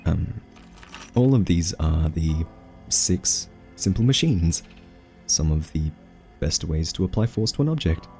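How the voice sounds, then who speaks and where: Low, soft-spoken, halting scientist played by a man. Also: animated, soft background music. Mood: patient, coaxing. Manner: hesitant, halting, politely condescending. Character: quirky, gently patronizing male scientist coaxing a captive AI. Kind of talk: soft-spoken ASMR roleplay.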